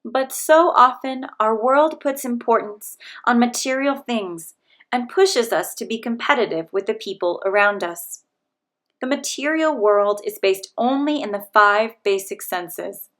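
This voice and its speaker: A woman with a somewhat high voice reads a picture book aloud. She speaks gently and steadily, pitching her words to young listeners. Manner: gentle, measured, speaking to children. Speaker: children's book reader.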